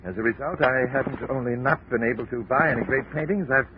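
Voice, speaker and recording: A man's voice: medium pitch, fast talker, narrow, tinny audio, natural voice. A man playing a formal, earnest, self-important art exhibition organizer in a 1950s radio drama. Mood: formal, brisk. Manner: pompous, formal, wordy. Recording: thin, noisy old radio recording.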